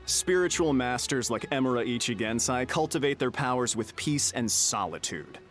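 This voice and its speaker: A man's voice: mid-range voice, expressive, normal speed, fairly bright tone, soft background music, lightly acted. A man voicing a dismissive, casual townsman in a video game, brusque and smug. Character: dismissive, gruffly casual townsman turning people away.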